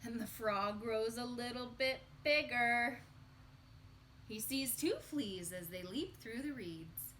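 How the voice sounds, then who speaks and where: A woman reading a picture book aloud to young children in an expressive, sing-song storytelling manner. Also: fairly high pitch, slow, a little hiss or hum.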